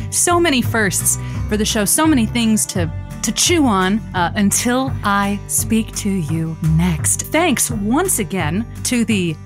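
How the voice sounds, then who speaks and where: An actress playing a blunt, guarded woman who hosts a radio advice show, in a dry audio drama delivery. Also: medium pitch. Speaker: radio show host character.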